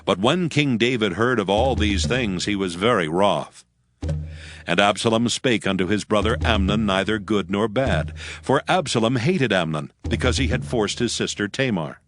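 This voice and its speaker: A man's voice: fairly low pitch, expressive, moderate pace, a little hiss or hum. A man giving a solemn scripted narration of scripture in archaic English. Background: faint music.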